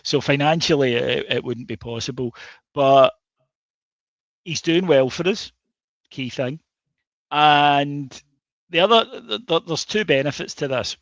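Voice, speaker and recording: A man's voice: on the high side, unhurried, long pauses, fairly bright tone, no room sound. A Scottish man co-hosting a football podcast, chatting casually with lots of fillers and restarts. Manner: hesitant, many fillers and restarts. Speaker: podcast co-host. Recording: dull dry lo-fi sound.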